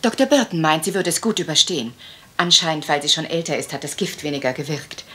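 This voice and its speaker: Low-voiced, troubled genteel lady. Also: fast, pushed and bright. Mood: recounting, uneasy. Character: troubled, wistful genteel woman of a manor house. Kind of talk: German radio crime drama acting.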